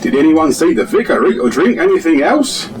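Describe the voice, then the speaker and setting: An actor playing a formal, officious village police constable in a comic radio drama. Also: male voice, fairly high pitch, sing-song, a little fast, music in the background. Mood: officious, inquiring.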